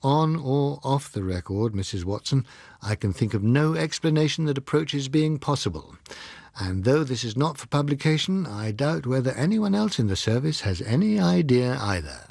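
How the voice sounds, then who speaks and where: A man playing an apologetic, courteous naval captain who speaks in a measured, formal way in an audiobook. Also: mid-range voice, sing-song, vocal fry, a little mellow, no room sound, studio quality, voice pushed up. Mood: candid, measured.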